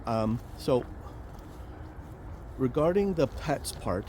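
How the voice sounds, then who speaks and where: A man chats his way through a casual outdoor vlog, wandering from point to point with plenty of fillers and restarts. His voice is mellow and warm, and a faint hum of outdoor noise and traffic sits under it. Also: medium pitch, expressive, takes it slowly. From Romania. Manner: rambling, chatty, many fillers and restarts. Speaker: vlog host, financial planner. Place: outdoors in a park near a highway.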